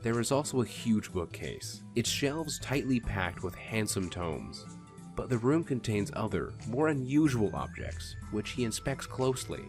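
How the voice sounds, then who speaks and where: Deep-voiced man narrating. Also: unhurried. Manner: steady, descriptive. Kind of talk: scripted radio drama narration. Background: music.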